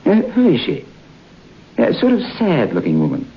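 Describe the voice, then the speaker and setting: An actor playing a restless, romantic writer who muses haltingly aloud in an old-time radio drama. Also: male voice, a little high, wildly swooping pitch, breathy edge, full character voice. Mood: genial, curious. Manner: musing aloud, halting, talking to himself. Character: restless English writer on holiday, romantic, brooding. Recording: muffled noisy old radio broadcast.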